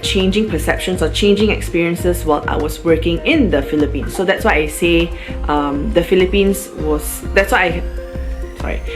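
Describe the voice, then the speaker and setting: Young malaysian woman vlogging, low-ish voice, rambly and chatty, music playing behind. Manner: chatty, rambling with fillers and restarts. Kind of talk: casual personal vlog.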